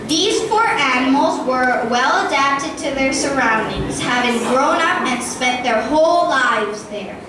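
A woman's voice: high voice, lively intonation, unhurried, hardly any pauses. A girl of about ten or eleven reading scripted storytelling narration as the narrator of a school play. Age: child, about ten or eleven. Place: theatre hall stage, filmed from audience. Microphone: far camera. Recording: echoey school hall, distant, very noisy.

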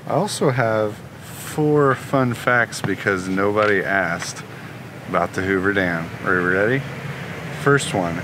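Low-voiced man vlogging over background noise. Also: slow pace. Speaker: travel vlogger. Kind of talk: casual chat to camera. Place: inside a camper and outdoors. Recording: clear background noise on camera mic.

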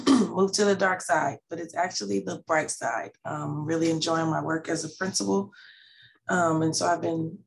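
A woman's voice: low-pitched, fairly even intonation, normal speed, vocal fry. A woman in her forties from California, a school principal, introducing herself steadily on a video call with a headset.

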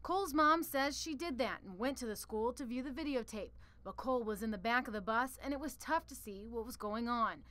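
A woman's voice: fairly high pitch, a little fast, hardly any pauses, a bit bright. A woman news reporter reading scripted narration for a television report.

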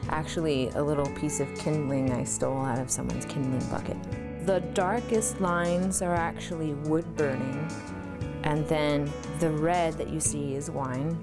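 A woman in her thirties, an artist, talking thoughtfully about her work in an interview, recorded on a lapel mic in her cluttered home studio. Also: sits low. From United States.

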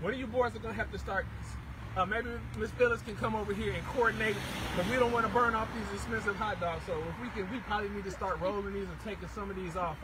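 A middle-aged man hosting a casual livestream as a farm stand vendor, with an enthusiastic, repetitive sales pitch. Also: fairly even intonation, fast. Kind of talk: casual promotional livestream chat. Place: outdoors at a tented farm stand by a street. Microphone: handheld phone, around arm's length. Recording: noisy outdoor street-side phone recording.

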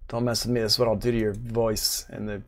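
A middle-aged man giving a casual live software demonstration, playful and muttering to himself as he works. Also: lowish voice, little pitch movement, fast. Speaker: music production instructor.

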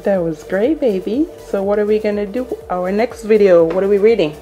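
A woman's voice: mid-range voice, fairly quick, dark tone. Woman prompting a child, music behind. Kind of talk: casual chat with a young child. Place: small bedroom indoors. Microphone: camera microphone, speaker off camera.

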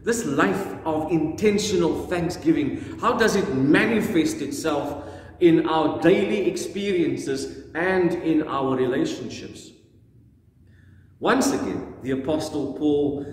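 Slow-speaking male pastor in an echoey church. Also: a little high, lively intonation, a little mellow. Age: middle-aged. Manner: deliberate, emphatic phrasing with repetition. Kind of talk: sermon. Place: indoors in a church, standing before the camera. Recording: very echoey church hall, long reverb.

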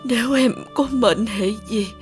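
Actress as a slow, frail, tearful mother. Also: fairly high pitch, faint music behind, natural voice. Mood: weak, grieving. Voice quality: weak, tearful. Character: frail, ailing, loving mother, sorrowful. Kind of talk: animated folk tale voice acting.

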